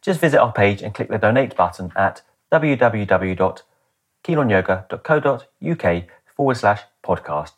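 A man's voice: fairly low pitch, lively intonation, normal speed. A man presenting a podcast introduction as its host, in a scripted spoken style.

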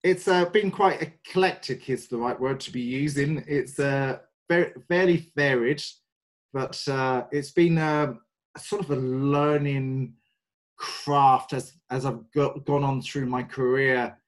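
A man in his forties with a London English accent speaks as a podcast guest. He talks slowly and thoughtfully, pausing often and filling the gaps with "um" and "uh." His voice sits at an ordinary middle pitch. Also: steady tone. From North London, England. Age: 40s. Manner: hesitant, many fillers, thoughtful. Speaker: guest, sales and mind coach. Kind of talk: podcast interview.

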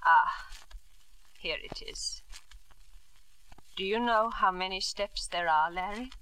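Grieving mother, slow measured delivery, bright edge, sardonic, unsteady, vintage radio drama. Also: mid-range voice, smooth, rough recording. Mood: dazed, weary. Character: bitter grieving wife and mother, unsteady, mocking. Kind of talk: 1940s-50s radio drama acting.

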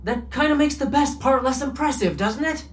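A man's voice: extremely high pitch, normal speed. A man acting an irritable bank robber on the run in a comedic audio drama, blunt and sarcastic in delivery. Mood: fed up, determined.

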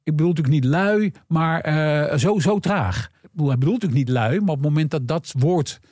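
A man's voice: fairly high pitch, expressive, fairly quick, darkish tone, dry recording, studio quality. A man explaining conversationally as an expert guest, acting out bits of dialogue as he goes. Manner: acts out imagined dialogue while explaining. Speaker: guest analyst explaining earnings calls.

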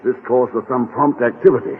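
A man's voice: medium pitch, a little hiss or hum, lightly acted. Man acting a wry secret agent, muffled old radio. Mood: brisk, serious. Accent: American. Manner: brisk, wisecracking, hard-boiled. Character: quick-witted, wry American secret agent hero. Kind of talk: 1940s radio adventure acting.

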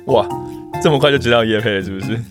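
A man's voice: medium pitch, racing, over music. A man co-hosting a casual podcast chat, speaking with a Taiwanese Mandarin accent. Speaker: podcast co-host.

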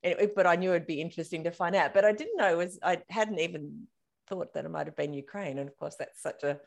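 A woman's voice: on the low side, animated, quick pace, vocal fry. An Australian woman hosting a podcast, chatting in a bubbly, talkative way and laughing often. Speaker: podcast host. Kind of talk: casual interview chat.

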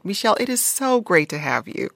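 A woman's voice: mid-range voice, big pitch swings, a little fast, gravelly, a bit bright, no room sound, clean studio sound. A woman radio host interviewing a guest in a friendly, engaged way.

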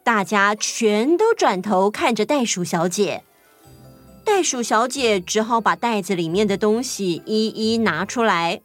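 A woman's voice: medium pitch, animated, unhurried. A woman reading scripted narration for a children's audio story. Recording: music under the voice.

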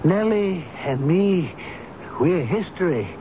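High, plaintive old elevator operator. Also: male voice, expressive, takes it slowly. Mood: pleading. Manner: folksy, earnest, plaintive. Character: devoted, sentimental seventy-year-old elevator operator, pleading. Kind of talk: 1970s radio drama acting. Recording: muffled old radio broadcast, faint rumbling hiss.

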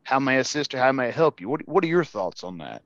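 A man's voice: mid-range voice, sing-song, fast talker, fairly bright tone, dull audio. A man hosting a podcast in a casual, agreeable interview style, echoing and building on his guest's points. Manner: agreeable, echoes the guest's words. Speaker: podcast host.

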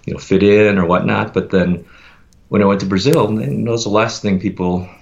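A man telling his story as a podcast guest in a relaxed, reflective way, pausing often with fillers. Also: fairly low pitch, gravelly, dull audio. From United States. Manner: reflective, meandering with many fillers. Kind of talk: casual conversational interview.

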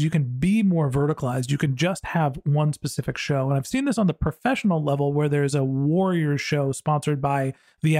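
An American man with a slightly high voice speaks as a podcast host. He talks conversationally, as in an interview, and the sound is dry with very little room. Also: darkish tone, no echo.

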